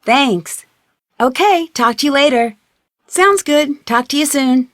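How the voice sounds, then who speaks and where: A young American woman sleuth in a video game. Her voice sits a little high and is recorded very dry. She narrates in a composed, plucky, scripted manner. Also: expressive, slow, no room sound. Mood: friendly. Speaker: detective heroine. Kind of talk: scripted game narration, letter read aloud.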